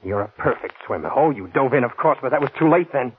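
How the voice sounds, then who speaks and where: An actor playing an anguished, earnest husband in a grave 1940s radio drama style. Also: male voice, medium pitch, expressive, fast, breathy edge. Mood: accusing, anguished. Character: anguished writer husband, loving turned bitterly accusing. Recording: muffled, scratchy 1940s radio broadcast.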